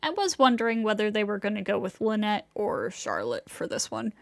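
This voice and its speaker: A woman's voice: mid-range voice, big pitch swings, fairly quick, vocal fry, no echo, studio quality. A woman giving casual livestream commentary as she plays a video game. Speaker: game streamer.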